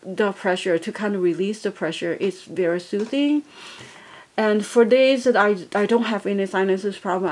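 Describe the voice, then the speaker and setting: A woman's voice: mid-range voice, darkish tone. Chatty woman reviewing a gadget. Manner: chatty, rambling, many fillers and restarts. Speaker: product reviewer. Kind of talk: casual product review.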